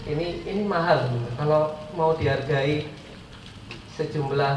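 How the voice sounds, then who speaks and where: Man in his forties, Indonesian accent, stuffy nose, highish voice, informal public speaker, distant noisy mic. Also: moderate pace. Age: 40s. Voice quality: nasal, stuffy voice. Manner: jokey, self-deprecating asides, addresses listeners as friends. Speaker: guest motivational speaker. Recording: echoey room, far mic, clear background noise.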